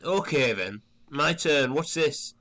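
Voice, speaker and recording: A man's voice: a little high, animated, slow pace, pushed and bright, exaggerated voice. A man playing a sarcastic, exasperated castaway with a dry, questioning manner in an audio comedy. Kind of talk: scripted audio comedy sketch acting.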